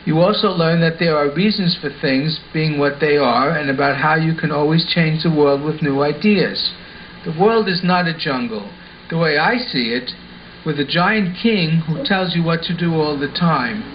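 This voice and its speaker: A man's voice: fairly high pitch, normal speed, close to an everyday voice. A man playing an earnest, sensible schoolboy in a scripted puppet show, explaining things instructively. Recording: dull lo-fi sound with faint noise.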